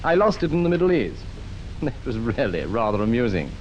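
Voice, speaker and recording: A cocky male conman played by an actor tells of his swindles in an old radio drama. He is breezy, insinuating and boastful, with a very animated middle-pitched voice. The recording carries slight noise. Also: fairly quick, dull audio, natural voice. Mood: breezy reminiscing. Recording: old radio recording, faint noisy rumble.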